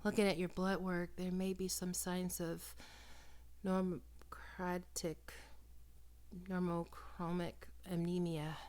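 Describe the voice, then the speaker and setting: A woman acting in an ASMR roleplay as a doting, possessive vampire posing as a small-town doctor, coaxing and teasing, often dropping into breathy whispers. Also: fairly low pitch, flat delivery, takes it slowly, darkish tone. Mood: soothing, clinical. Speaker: doctor character in audio roleplay.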